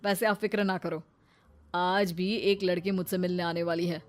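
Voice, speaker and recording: A woman's voice: lowish voice, flat delivery, moderate pace, natural voice. Female voice actor as smug modern young son, casual cartoon-story dialogue with background music.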